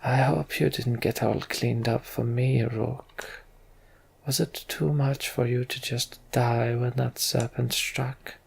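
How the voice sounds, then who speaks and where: Man as a gravelly, scornful governor. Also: mid-range voice, fairly even intonation, slow pace, darkish tone, exaggerated voice. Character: cold, scornful male governor and rival.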